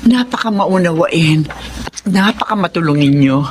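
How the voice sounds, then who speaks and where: A woman's voice: on the low side, expressive, normal speed, natural voice. An actress plays a grateful, motherly middle-aged woman in a radio drama. She speaks tenderly, like a caring parent. Faint noise runs behind the voice. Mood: grateful, concerned. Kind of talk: Filipino radio drama acting.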